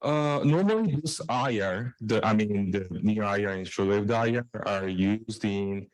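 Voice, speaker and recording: A man giving a technical research presentation in a halting way, repeating and restarting phrases. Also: on the low side, dry recording, poor audio. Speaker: researcher presenting own work.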